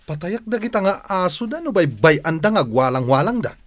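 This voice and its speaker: Grumbling husband, high lively male voice. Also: a little fast, no room sound. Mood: angry. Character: irritable, grumbling neighbour husband. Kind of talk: radio drama acting.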